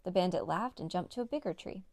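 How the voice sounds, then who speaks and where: A woman's voice: on the low side, animated, fast talker, a little mellow, dry recording. A woman narrating a children's read-along story as a storyteller.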